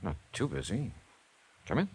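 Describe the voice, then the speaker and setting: An actor playing a cool, wry hardboiled investigator with dry, clipped lines in a 1950s radio drama. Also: male voice, deep voice, very animated, normal speed, darkish tone, a little hiss or hum. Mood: wry, guarded. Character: wry, cool hardboiled investigator, narrating his own case.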